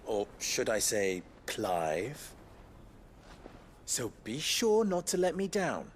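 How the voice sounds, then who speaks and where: An actor playing a wry, sardonic merchant fixer with a dry, teasing manner in a game cutscene. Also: male voice, medium pitch, wildly swooping pitch, slow pace, a little hiss or hum. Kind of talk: video game cutscene acting. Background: game score.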